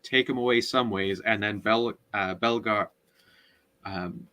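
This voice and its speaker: A man recapping a story in a casual book discussion, often halting as he searches for words. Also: lowish voice, steady tone, pushed and bright. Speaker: podcast co-host.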